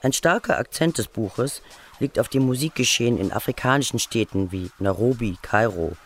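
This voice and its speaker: Male feature narrator, scripted read, mid-range pitch, faint music bed. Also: normal speed, slightly rough.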